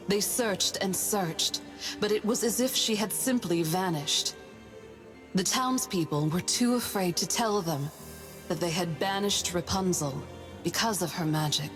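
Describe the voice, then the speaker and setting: Solemn, lowish-voiced female storyteller over music. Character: solemn female storyteller of a tragic fairy tale. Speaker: narrator. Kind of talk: scripted storybook narration for a video game cutscene. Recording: music bed under narration.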